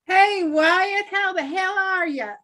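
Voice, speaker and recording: High, manic cowboy outlaw played by a woman. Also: slow, bright, forceful tone, a little hiss or hum, full character voice. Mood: gleeful taunting. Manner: gleeful, drawling, whooping taunts. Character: manic taunting male cowboy outlaw leader. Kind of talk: live table-read acting.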